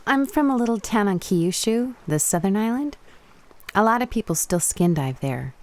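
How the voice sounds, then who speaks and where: A woman's voice: mid-range voice, lively intonation, moderate pace, close to an everyday voice. A woman playing a confident, teasing young Japanese woman diver in a radio play. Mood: playful, friendly.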